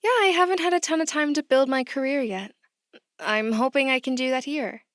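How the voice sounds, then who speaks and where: A woman's voice: sits high, fairly quick, no echo, clean studio sound, close to an everyday voice. A young actress playing an earnest, bewildered female new recruit in a naturalistic audio drama, speaking in a casual, plain way. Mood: polite, eager. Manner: questioning, casual, plain-spoken.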